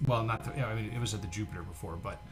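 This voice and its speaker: A man's voice: fairly low pitch, rapid-fire, soft background music. A man co-hosting a casual livestream, chatting and reading out comments in a jokey, talkative way. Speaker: co-host of a livestream show.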